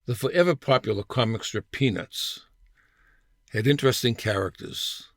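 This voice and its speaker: Older guy reading his funny personal essay, wry, lots of fry in the voice, dry close recording. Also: medium pitch, normal speed, dry recording. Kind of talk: scripted humorous personal storytelling.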